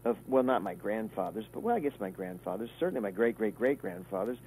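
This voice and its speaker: A man's voice: on the low side, animated, quick pace, a little mellow, breathy edge, poor audio. A man, a guest musician talking casually in a radio interview, rambling with fillers and restarts. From Kentucky, USA.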